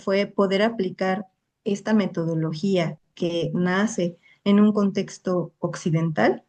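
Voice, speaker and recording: A woman's voice: medium pitch, steady tone, slow, a little mellow. A young woman, answering questions about her research in an online talk. She speaks carefully, restarting phrases and tagging statements with a questioning "no". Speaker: invited lecturer. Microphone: online video call.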